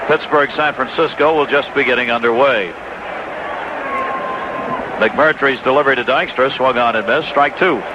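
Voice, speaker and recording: An American man calling live baseball play-by-play on the radio, filling in scores from other games between pitches. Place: stadium broadcast booth. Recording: dull, noisy 1980s AM radio broadcast. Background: loud ballpark ambience and noise.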